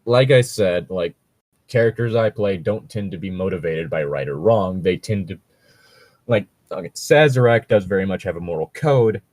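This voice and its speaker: A man giving casual, conversational commentary in a halting way, with lots of filler words and some swearing. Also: low voice, darkish tone, no room sound. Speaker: podcast host reacting to read-aloud Reddit posts.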